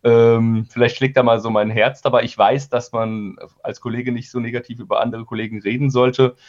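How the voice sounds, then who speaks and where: A man with a medium-pitched voice talks as an interview guest. He explains his views frankly but haltingly, in a casual tone. The recording is slightly dull and lacks top end. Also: little pitch movement. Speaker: audio drama producer being interviewed. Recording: slightly dull, band-limited audio.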